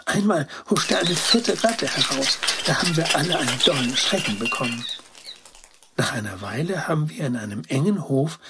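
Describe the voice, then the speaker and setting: A man voicing a young, earnest female dog who tells a story in a childlike, excited way, as in a children's storybook. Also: on the high side, normal speed, heavy background noise. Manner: childlike, excited storytelling with naive colloquial words. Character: Gretine, young female toy-rescuing dog, earnest and compassionate.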